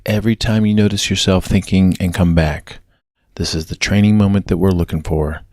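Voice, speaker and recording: A low-pitched man leads a guided meditation. He gives gentle, measured instructions in a steady narrating style. The sound is dry, with very little room in it. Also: no echo.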